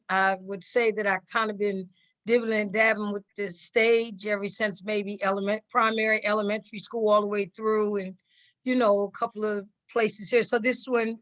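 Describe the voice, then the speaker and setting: A woman's voice: on the high side, fairly bright tone. A woman chatting casually as an interview guest, with loose, meandering phrasing. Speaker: teacher and stage actress being interviewed.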